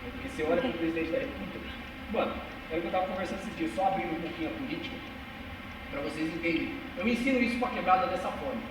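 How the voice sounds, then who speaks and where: A man's voice: fairly high pitch, very fast, background noise. Impassioned young man in an echoey hall. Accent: São Paulo interior Brazilian Portuguese. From Brazil. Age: 20s. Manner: impassioned, emphatic, uses street slang. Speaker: speaker giving a talk. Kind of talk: passionate live talk to a small audience. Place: cultural center hall. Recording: echoey hall recorded from far off.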